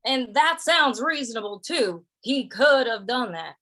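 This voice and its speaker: A woman's voice: fairly high pitch, animated, unhurried, pushed and bright, heavily acted. A woman playing an amiable, curious cowboy in an old-time western radio drama, with a mild, hesitant, folksy way of talking. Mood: surprised.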